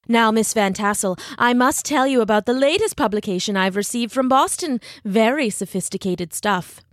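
A woman's voice: fairly high pitch, normal speed, no echo, studio quality, close to an everyday voice. Woman acting a pompous male schoolteacher, smug and lecturing. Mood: smug flattery. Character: pompous, condescending male schoolteacher courting a lady.

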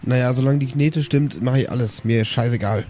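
A man's voice: medium pitch, a little fast, dark tone, a little hiss or hum, natural voice. Man playing a blunt money-grubbing superhero. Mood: greedy, indifferent. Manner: blunt, impatient. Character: gruff, impatient, money-grubbing male superhero.

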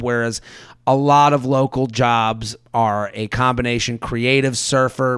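A man hosting a podcast, reading ads and listener emails aloud in a lively, chatty way. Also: mid-range voice, clear, resonant tone, no echo, clean studio sound. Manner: animated, reads ads with repeated promo codes. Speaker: podcast host.

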